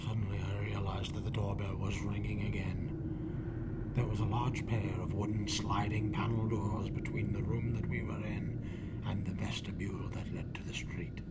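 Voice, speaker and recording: An actor playing a guilty, haunted husband in a 1940s radio drama, halting and melodramatic, narrating his own crime. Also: fairly low pitch, level tone, very noisy, lightly acted. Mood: haunted, feverish. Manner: melodramatic, halting, swinging from hushed to frantic.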